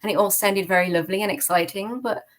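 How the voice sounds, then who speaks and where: A woman's voice: mid-range voice, fast. A woman from the UK with a British accent, giving personal testimony as an interview guest in a candid, informal way, often using filler phrases like "kind of". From United Kingdom. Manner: candid, conversational, peppered with "like" and "kind of".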